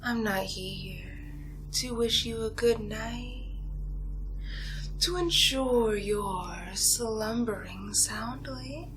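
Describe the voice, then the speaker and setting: Woman as a slow, whispering ancient vampire seductress. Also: fairly high pitch, noisy background. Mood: solemn, ominous. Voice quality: breathy, slipping into whispers. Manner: slow, taunting, theatrical, drawn-out pauses. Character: ancient, seductive, menacing female vampire toying with prey. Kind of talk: ASMR roleplay monologue, soft-spoken and whispered. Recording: music behind the voice. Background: music.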